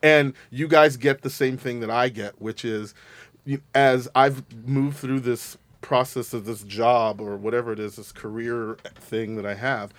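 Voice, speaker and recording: A man talks in a casual studio chat. His delivery is flamboyant and exclamatory, full of theatrical asides. His voice sits at an ordinary male pitch, recorded dry with no room echo. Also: steady tone, measured pace, fairly bright tone. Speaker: host.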